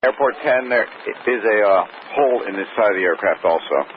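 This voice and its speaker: Low, lively male controller on thin radio. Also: fairly quick, narrow, tinny audio. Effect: aviation radio transmission. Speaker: tower controller. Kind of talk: brisk radio coordination. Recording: thin, faintly noisy tower radio.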